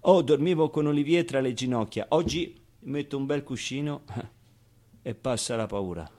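An actor playing a wistful, streetwise man who recalls his orphaned boyhood in an expressive, colloquial theatrical monologue. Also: medium pitch, close to an everyday voice. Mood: playful, animated. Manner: expressive, clownish, with pauses and repeated phrases. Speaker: stage actor. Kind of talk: theatrical monologue, colloquial first-person storytelling.

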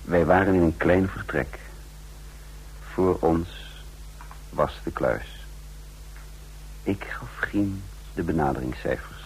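A man's voice: deep voice, takes it slowly, long pauses, darkish tone, poor audio. A man playing the careful, commanding chief of a break-in team in a radio drama, terse and controlled. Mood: narrating, tense. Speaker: narrator and lead character. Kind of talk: radio drama acting and narration. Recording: radio drama with faint background noise.

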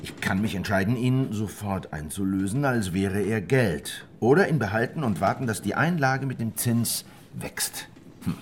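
Male actor as sceptical historical rebel, low voice, measured, probing, radio drama, slight background noise. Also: animated, natural voice. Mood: pondering. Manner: sceptical, measured, thinking aloud. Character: wary, probing revolutionary in a historical conspiracy.

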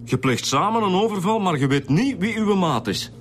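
A man's voice: sits high, expressive, normal speed. A man with a Flemish accent playing a blunt, confrontational detective in a radio drama. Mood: confronting. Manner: confrontational, matter-of-fact. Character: blunt male detective confronting a young suspect with evidence. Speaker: police interrogator. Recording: faint music behind the voice.

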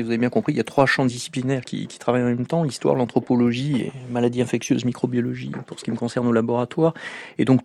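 A male researcher with a gravelly, creaky voice of middling pitch explains as a radio guest. His manner is didactic and measured, and the sound is close and dry. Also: a little mellow, dry recording. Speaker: medical researcher, microbiologist, guest expert.

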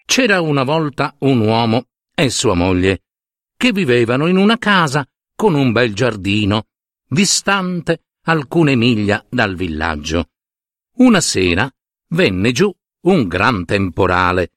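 A man tells a fairy tale as a dramatic storyteller. His pitch swings widely and his delivery is unhurried. The sound is dry and close. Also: sing-song, fairly bright tone, no echo.

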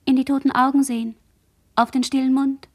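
A woman's voice: sits high, level tone, brisk. A woman playing a dazed young girl who murmurs a fragmented, incantatory inner monologue in a literary radio play. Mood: fragmented, musing.

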